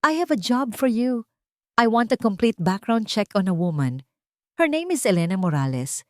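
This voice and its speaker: A woman voicing a young, wealthy, scheming fiancée whose sweet voice hides sharp, haughty questions. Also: fairly high pitch, sing-song, moderate pace, dry recording, clean studio sound, voice pushed up. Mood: cold, commanding. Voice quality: sweet-sounding but cutting. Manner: mixes in English, refined and haughty.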